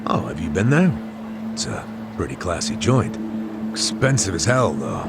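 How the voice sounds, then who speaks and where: An actor voicing a jaded, streetwise middle-aged former detective in a video game, casual and sardonic, with a goading way of talking. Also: male voice, deep voice, big pitch swings, moderate pace, vocal fry, very noisy, heavily acted. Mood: needling. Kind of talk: video game cutscene voice acting. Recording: dramatic music behind the voice. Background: loud background music.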